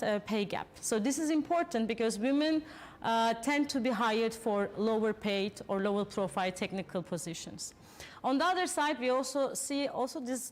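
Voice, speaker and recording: A woman from Turkey whose first language is Turkish, hosting a panel and earnestly presenting statistics in English with frequent fillers and restarts. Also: a little high. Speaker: panel host.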